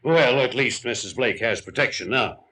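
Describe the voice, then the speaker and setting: Actor as formal town mayor, echoey. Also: male voice, mid-range voice, normal speed, sharp tone, lightly acted. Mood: uneasy, puzzled. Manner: formal, earnest, slightly pompous. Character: formal, courteous male town mayor, uneasy official. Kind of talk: mid-century radio drama acting. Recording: echoey, distant old radio recording.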